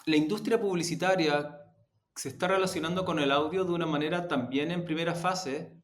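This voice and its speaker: A man with a Chilean accent answering interview questions in a measured, deliberate, halting way. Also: on the high side. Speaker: interview guest, audio production executive. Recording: roomy, echoey sound.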